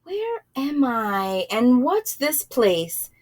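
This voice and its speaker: A woman's voice: on the high side, sing-song, extremely slow, a little mellow, full character voice. A woman voicing a bewildered, earnest young storybook traveller who asks questions pleadingly. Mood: puzzled.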